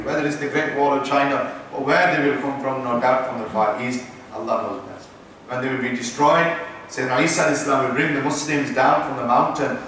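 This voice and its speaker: A man's voice: mid-range voice, fairly bright tone, slight background noise. A man with a British accent, an imam giving an Islamic lecture and teasing his listeners with asides. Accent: British English. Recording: echoey room, voice from far off.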